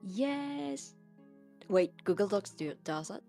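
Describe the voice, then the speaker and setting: A woman's voice: medium pitch, expressive, slow pace, vocal fry, warm tone, faint music behind. A woman guest in a casual chat, giving short, exclamatory, playful reactions. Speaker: guest listening to the tutorial.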